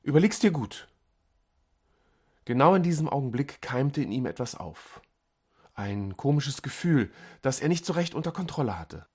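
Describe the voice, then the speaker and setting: A man reads a short story as an audiobook narrator. His mid-pitched voice moves expressively up and down. He phrases dramatically and leaves deliberate pauses. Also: expressive, breathy edge.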